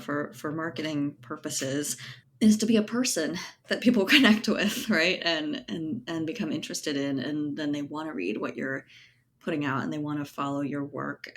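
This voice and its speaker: A woman's voice: deep voice, a little hiss or hum. A woman speaking casually as an interview guest, thinking aloud and checking in with a conversational "right?" as she explains. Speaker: guest, writer and editor. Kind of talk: casual podcast interview chat.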